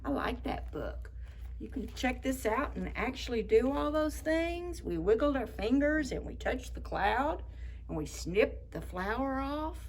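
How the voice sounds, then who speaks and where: A woman hosting children's story time, reading picture books expressively with drawn-out words and chatty asides. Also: high-pitched, sing-song. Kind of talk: animated picture book read-aloud for preschoolers.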